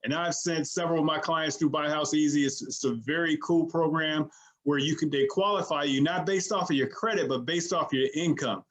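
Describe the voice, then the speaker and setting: A man's voice: fairly high pitch, brisk, a bit bright. A man hosting a real estate show, introducing a guest casually, with loose phrasing and repeated words.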